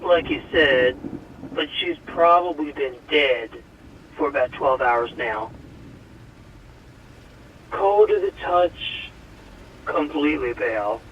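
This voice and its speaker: A man playing a shaken paramedic who speaks in a subdued, hushed way, heard over a radio or phone. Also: on the high side, slow pace, pauses often, slight background noise. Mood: grim. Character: shaken male paramedic, grim and low-key. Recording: distant, muffled radio and phone sound.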